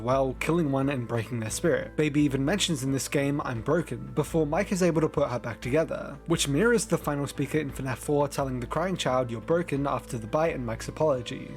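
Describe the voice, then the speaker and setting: A man's voice: a little high, darkish tone. A man narrating scripted, analytical commentary on game lore in an explanatory, opinionated manner. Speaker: video essay narrator. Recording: voice-over with music underneath. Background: background music.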